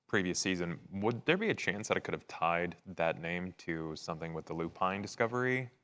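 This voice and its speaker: A man as a low-voiced, formal vampire investigator. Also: expressive, brisk, fairly bright tone, clean tone. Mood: formal, dry. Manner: dry, formal phrasing. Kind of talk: improvised tabletop roleplay.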